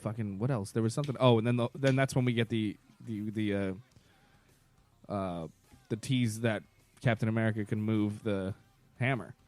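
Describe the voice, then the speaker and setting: A man co-hosting a podcast, chatting casually and excitably about a film with friends, swearing freely. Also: lowish voice, moderate pace, faint music behind. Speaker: podcast co-host.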